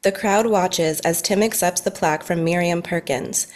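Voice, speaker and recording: A woman's voice: on the low side, moderate pace. A woman reading stage directions as the narrator of a scripted table read.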